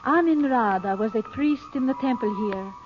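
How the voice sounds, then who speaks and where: An actress playing a solemn, fearful Egyptian woman, speaking slowly and gravely in old-time radio drama style. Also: fairly high pitch, lively intonation, close to an everyday voice. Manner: slow, measured, grave delivery. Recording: muffled, hissy old radio recording.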